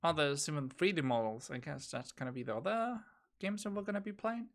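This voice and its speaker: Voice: medium pitch, a little fast. A streamer who is a non-native English speaker, chatting casually and hesitantly while playing a game. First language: not English. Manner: relaxed, hesitant with fillers.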